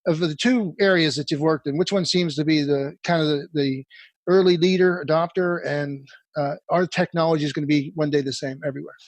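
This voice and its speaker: A man hosting an industry podcast, with a fairly high voice. He asks long, winding questions with plenty of enthusiasm. The sound is close and dry. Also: fairly even intonation, a little fast, no echo. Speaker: podcast host. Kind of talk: casual podcast interview.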